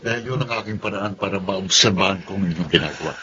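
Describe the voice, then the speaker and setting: Low-voiced young company boss. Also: male voice, fast, sharp tone, dull audio, a little hiss or hum. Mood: stern, authoritative. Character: stern, composed young male heir and company boss. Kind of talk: radio drama acting.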